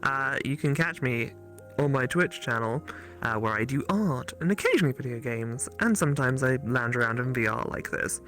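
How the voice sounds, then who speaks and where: A man's voice: medium pitch, expressive, normal speed, a bit bright, soft background music. A man guesting on a gaming stream, chatting casually with crude, mock-serious humour. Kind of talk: casual joking chat.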